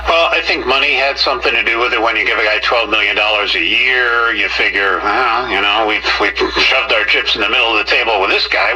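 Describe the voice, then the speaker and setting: A man's voice: on the low side, bright, forceful tone, a little hiss or hum, poor audio. An American man giving opinionated, emphatic, unscripted sports commentary, heard through a vintage tube radio.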